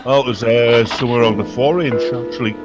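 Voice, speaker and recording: A man's voice: medium pitch, steady tone, fairly quick, music in the background. A man casually riffing as a comic co-commentator, with joking, pedantic asides. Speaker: co-commentator riffing over old radio shows. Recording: loud noise from radio drama behind.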